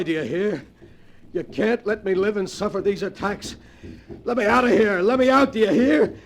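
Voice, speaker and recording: A man playing a hard-boiled, desperate gangster on the edge of madness in 1940s radio melodrama, on an old noisy recording. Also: high-pitched. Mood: anguished, raving.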